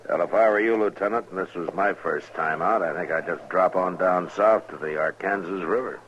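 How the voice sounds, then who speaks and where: An actor playing a weary, authoritative American frontier marshal, laconic and dry, in a 1950s radio western. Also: male voice, low voice, a little fast, lightly acted. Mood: wry. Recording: hissy muffled 1950s radio recording.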